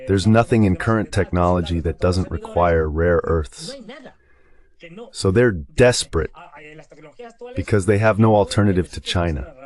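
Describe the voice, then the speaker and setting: A man's voice: deep voice, measured pace, pauses often, a little mellow. A man giving conversational political commentary in an emphatic, repetitive, colloquial way, as a synthetic translation voice-over.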